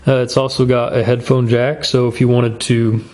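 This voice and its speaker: Man, mid-pitched voice, gear review host, informal, folksy, plain-spoken delivery. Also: level tone, moderate pace, darkish tone. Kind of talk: casual product review.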